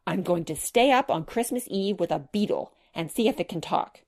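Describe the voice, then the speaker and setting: A woman's voice: fairly low pitch, animated, normal speed. A woman voicing an eager, chatty little girl full of questions in a children's storybook. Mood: enchanted. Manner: bright-eyed, exclamatory, inquisitive.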